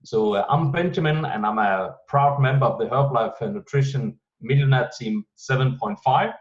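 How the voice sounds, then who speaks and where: A man hosting an online team meeting, welcoming people and announcing event details, pausing often as he searches for words. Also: medium pitch. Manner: halting, many pauses while searching for words. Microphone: video call. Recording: muffled video-call audio.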